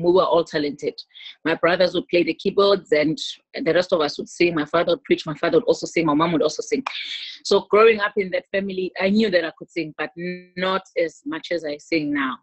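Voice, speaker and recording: Low-voiced South African woman, chatty. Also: dry recording, poor audio. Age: 30s. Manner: chatty, laughs often, many restarts. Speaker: interview guest, gospel singer. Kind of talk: casual interview over video call. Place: small room at home. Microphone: laptop webcam on a video call.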